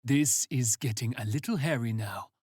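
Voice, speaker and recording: Man acting sci-fi agent, terse, watchful, low-key, direct, dry close sound. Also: normal speed, darkish tone, slightly rough, dry recording, natural voice. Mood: wary.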